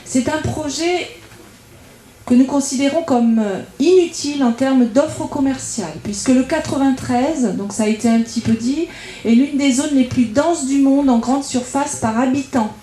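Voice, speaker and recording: A woman's voice: high-pitched, moderate pace, mellow. A woman with a French accent from France delivers a prepared political speech at a public debate, methodically arguing point by point as a local campaigner. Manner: argumentative, methodical, enumerating points. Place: indoor meeting room at public debate. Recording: echoey room at public meeting.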